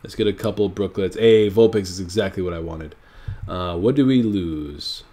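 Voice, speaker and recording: A man's voice: deep voice, normal speed, mellow. A man with a stuffy, nasal voice from a cold, casually thinking aloud while commenting on his own card game match. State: sick, stuffy nose. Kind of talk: live gameplay commentary.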